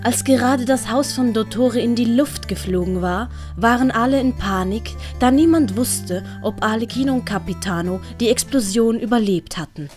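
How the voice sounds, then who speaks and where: Female storyteller, Swiss German accent, slightly high voice, scripted audiobook narration with prominent music. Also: normal speed, hardly any pauses, a little mellow.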